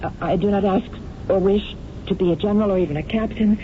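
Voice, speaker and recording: An actress playing an idealistic, lovestruck young actress, earnest and heartfelt, in a 1970s radio drama. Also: female voice, mid-range voice, fast talker, close to an everyday voice. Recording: tinny, hissy old radio broadcast.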